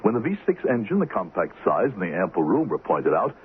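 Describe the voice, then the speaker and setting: A deep, lively man's voice on a thin, slightly noisy radio recording. He briskly narrates a commercial like a storybook, wry and mock-solemn. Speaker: commercial announcer. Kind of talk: storybook-style scripted ad narration. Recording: tinny phone-like radio recording, faint noise.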